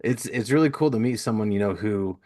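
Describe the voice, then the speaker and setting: A man with a low-pitched voice hosts a relaxed conversation. He speaks informally and agreeably, like someone chatting casually with a guest on a podcast. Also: a little fast. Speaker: podcast host. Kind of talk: casual interview chat.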